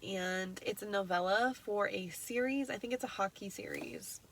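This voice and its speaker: A woman's voice: mid-range voice, normal speed, creaky, fairly bright tone. A young woman with a congested, nasal voice talks through a long reading list in a loose, chatty way. She trails off with ums and corrects herself as she goes. A faint background hum sits under her voice. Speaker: book vlogger. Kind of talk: casual reading wrap-up.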